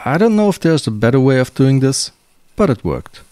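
A male workshop host calmly narrating the steps of a woodworking project in a scripted voice-over, with a German accent. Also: medium pitch, brisk, soft background music. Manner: calm, plain step-by-step explaining.

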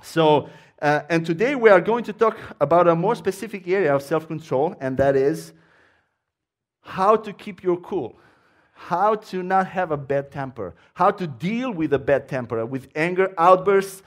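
A man's voice: fairly high pitch, lively intonation, normal speed. Romanian-accented man preaching with fervent earnestness. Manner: earnest, fervent, with frequent restarts. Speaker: guest preacher. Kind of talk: sermon. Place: church sanctuary.

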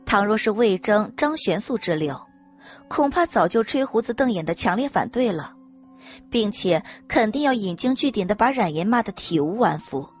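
A woman's voice: medium pitch, animated, moderate pace, slightly rough. Woman narrating a story over music. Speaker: audiobook narrator.

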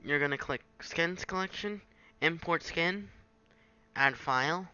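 A man giving a casual, improvised software tutorial in a halting, self-deprecating way on a home microphone. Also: a little high, clear, resonant tone, muffled recording. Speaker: tutorial maker. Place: at a home computer, screen recording.

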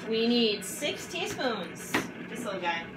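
A woman's voice: fairly high pitch, big pitch swings, a little slow. A woman co-hosting a home cooking show, giving practical directions with dry quips. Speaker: co-host. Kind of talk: casual cooking show banter. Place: home kitchen, indoors. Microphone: far camera. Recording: distant, echoey, loud background noise.

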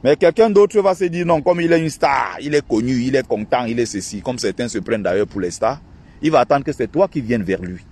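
A man's voice: expressive, darkish tone, breathy edge. A man recording himself by a lake on a phone, ranting and mocking in an indignant monologue to his followers. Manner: indignant, ranting and mocking, quick shifts in pitch. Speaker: online activist and channel host. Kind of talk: outdoor vlog monologue. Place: outdoors by a lake in a park.

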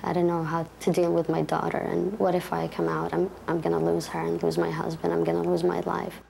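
A woman's voice: on the low side, completely flat delivery, fairly quick, vocal fry, darkish tone, a little hiss or hum, poor audio. A woman from Palestine speaking earnestly and personally in an interview.

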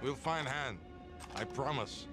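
A man's voice: medium pitch, takes it slowly, over loud music, natural voice. A man playing an exasperated rogue pilot in a game cutscene, urgent and put-upon. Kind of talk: video game cutscene acting.

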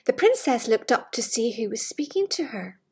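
Breathy, higher-pitched woman storyteller. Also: sing-song, moderate pace, darkish tone. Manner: gushing, wistful enthusiasm for the book. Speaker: children's storyteller. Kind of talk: reading a fairytale aloud to children with chatty asides. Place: living room with large glass doors. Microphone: device camera at arm's length.

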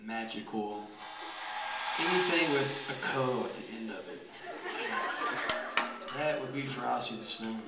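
A man's voice: medium pitch, animated, unhurried, gravelly, long pauses. A young man giving a comedic dramatic reading to a live audience, drawing words out and repeating phrases with mock drama. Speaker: performer leading a comic reading on stage. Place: church stage, indoors. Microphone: handheld microphone through PA, filmed from the audience. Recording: roomy, distant audience camera recording. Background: loud live instrumental music.

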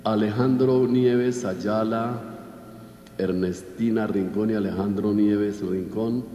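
Low-voiced Colombian priest in an echoey church. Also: male voice, steady tone, warm tone, highs cut off. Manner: measured, list-like recitation of names. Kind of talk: reading out Mass intentions from a list. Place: large church, at the altar. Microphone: altar microphone. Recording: echoey church with long reverb.

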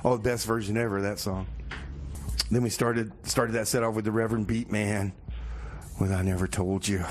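A man's voice: on the low side, flat delivery, normal speed, close to an everyday voice. A man playing a scatterbrained, boastful radio host in a comedy show, rambling and exclaiming in a flustered way. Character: scatterbrained, boastful radio host fretting over award paperwork. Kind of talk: comedy radio theater and music DJ banter.

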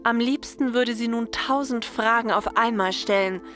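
A woman's voice: on the high side, normal speed, a bit bright. Woman narrator over music. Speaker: narrator and writer. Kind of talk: scripted narration of a science-fiction audio story. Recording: music under the voice.

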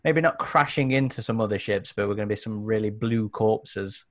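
A man's voice: on the low side, moderate pace, a bit bright, no room sound, clean studio sound. A man playing a tabletop roleplaying game online, bantering casually in character with a teasing, wry manner. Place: indoors at home on a group video call.

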